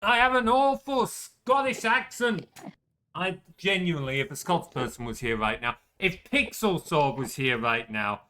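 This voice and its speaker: Young male streamer, chatty, self-deprecating, quick to curse; animated delivery, crisp bright tone. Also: on the high side, slight background noise. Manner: chatty and self-mocking, swears when caught out. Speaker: game streamer. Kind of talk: casual gameplay commentary.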